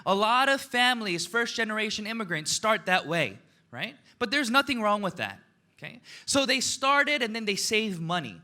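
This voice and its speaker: A man's voice: sits high, sing-song, moderate pace, pushed and bright. A man, giving a motivational seminar talk, asking the audience questions and tagging his points with "right?" and "okay?". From United States. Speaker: motivational speaker.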